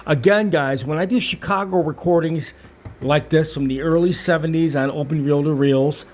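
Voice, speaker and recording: A man's voice: fairly high pitch, moderate pace, a little mellow. A middle-aged man giving a casual, off-the-cuff explanation in an emphatic, repetitive, boastful way, like a seasoned specialist. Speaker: video tape restoration specialist. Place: television equipment studio.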